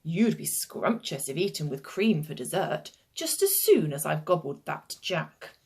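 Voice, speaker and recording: A greedy old giant from a children's storybook, acted out by a woman, grumbles and threatens in a booming chant. The delivery is slow and animated, with a husky edge. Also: heavily acted. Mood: grudging, scheming. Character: grumpy old male giant, greedy grouch, grumbling and menacing.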